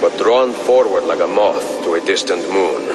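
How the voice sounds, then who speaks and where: An actor playing a wise, weary older master assassin in a video game, speaking in a measured, philosophical way. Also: male voice, low voice, lightly acted. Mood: weary, reflective.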